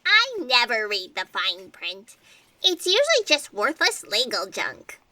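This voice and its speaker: An actress playing an excitable, naive female fact checker in a comedic audio drama, melodramatic in manner. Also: fairly high pitch, unhurried, dry recording, recorded from a distance, exaggerated voice. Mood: earnest. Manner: melodramatic, sighing and gasping.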